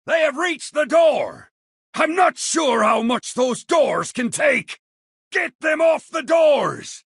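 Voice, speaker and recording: A brash Viking warrior from a video game, bellowing in a high, rough rasp. The voice swings wildly in pitch, with booming, blustering battle cries. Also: male voice, pushed and bright, no echo, clean studio sound, full character voice. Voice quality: raspy, gravelly. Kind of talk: video game character voice lines.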